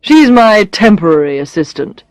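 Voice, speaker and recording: A self-assured male boss in an audiobook story, voiced by a woman, speaks with dry mockery and easy command. The delivery is lively and teasing, recorded close with very little room. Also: medium pitch, normal speed, dry recording, close to an everyday voice. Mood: cool, brisk. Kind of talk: audiobook character voice.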